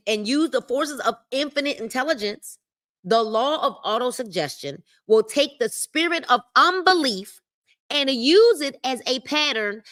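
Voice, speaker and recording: Bright, lively woman leading a book club. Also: high voice. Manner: emphatic, repeats key phrases and speaks to the chat. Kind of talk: reading a self-help book aloud with commentary on a live stream. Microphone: livestream mic.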